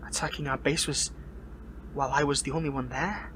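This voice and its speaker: A man's voice: medium pitch, steady tone, fairly quick, lightly acted. Man acting a bitter, defiant superpowered fighter, noisy recording. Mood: wounded, sarcastic. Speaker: audio roleplay voice actor. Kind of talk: dramatic ASMR audio roleplay acting.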